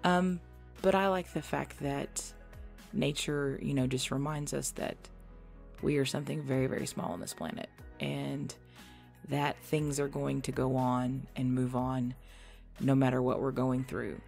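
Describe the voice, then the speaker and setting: A woman's voice: a bass voice, little pitch movement, measured pace, creaky, a little mellow. A young adult woman answering listener questions in a casual, chatty, self-deprecating way. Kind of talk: casual Q&A chat. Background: background music.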